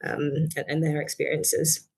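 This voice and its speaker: A middle-aged woman from Barbados, a psychiatrist answering interview questions in a measured way, with many fillers and restarts. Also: deep voice, completely flat delivery, breathy edge. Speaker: guest psychiatrist.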